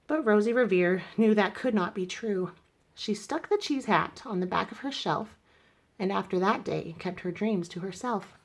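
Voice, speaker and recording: A woman reading a rhyming children's story aloud in an expressive narrating style. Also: mid-range voice, normal speed. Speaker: storybook reader.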